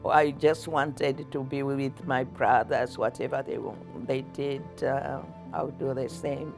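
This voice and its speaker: An older woman with a Zambian accent, recalling her life in conversation in a halting, chuckly way. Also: rumbling low, level tone, creaky, darkish tone, faint music behind. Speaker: interviewee, athlete's mother. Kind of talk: personal recollection in conversation.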